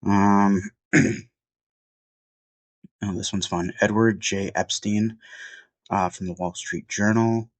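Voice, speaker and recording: A man's voice: on the low side, steady tone, takes it slowly, pauses often, no room sound. A man with a cold, sounding sniffly, giving a casual off-the-cuff film review at home, rambling with lots of "um"s and restarts. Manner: rambling, many fillers and restarts.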